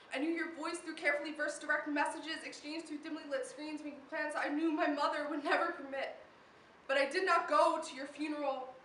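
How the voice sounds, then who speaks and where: A woman's voice: high voice, pushed and bright, a little hiss or hum. A teenage young woman performing slam poetry with a dramatic, emphatic delivery. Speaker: slam poet. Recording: roomy echo, distant microphone.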